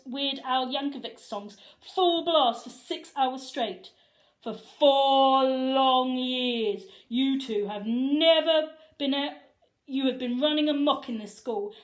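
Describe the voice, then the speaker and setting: A woman voicing a stern, gloating male school principal in a storybook, slow and deliberate. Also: high voice, echoey room, pitched above the performer's own voice.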